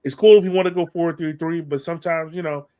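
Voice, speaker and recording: A man's voice: on the high side, fairly even intonation, fast talker, warm tone, smooth. A man hosting a sports podcast, giving casual fan commentary with playful, acted-out banter.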